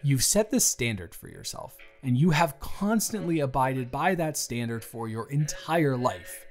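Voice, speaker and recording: Music plays behind a man acting as an office boss in his early thirties, his voice at an average male pitch. He speaks straight to the listener as in a romantic audio roleplay. He is earnest and encouraging, with a chatty, playfully overblown way of putting things. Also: lively intonation, unhurried, lightly acted. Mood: sincere, encouraging. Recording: background music.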